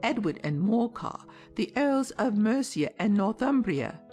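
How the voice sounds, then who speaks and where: A high, lively-pitched mouse acted by a woman for a storybook audiobook. It is pompous and pedantic, holding forth with great self-importance and a prickly politeness. Faint music sits behind the voice. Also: unhurried. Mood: self-important. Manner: pompous, pedantic, polite but easily nettled. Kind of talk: dramatized storybook audiobook acting.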